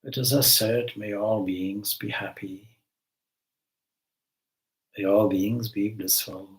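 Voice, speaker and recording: A man leading a guided meditation as a spiritual teacher, giving gentle instructions with long pauses between phrases. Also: on the low side, fairly even intonation, poor audio.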